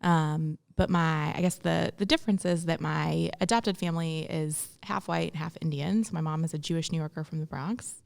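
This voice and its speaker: A woman's voice: low voice, steady tone, moderate pace, creaky, hardly any pauses. A young woman from Memphis, speaking reflectively as an interview guest, with frequent ums and restarts. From Memphis, Tennessee, US. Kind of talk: casual interview conversation.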